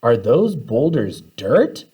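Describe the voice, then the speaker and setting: A man voicing curious, excitable young school children in a storybook, wide-eyed and questioning. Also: mid-range voice, takes it slowly, slightly rough, exaggerated voice. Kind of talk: children's storybook read-aloud.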